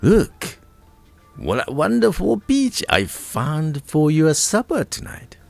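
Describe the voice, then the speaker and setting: A man's voice: fairly high pitch, wildly swooping pitch, slow pace, vocal fry, dry recording, soft background music, pitched above the performer's own voice, exaggerated voice. A man voicing a kindly, delighted old countrywoman in a storybook, exclaiming. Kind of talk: storybook character voice.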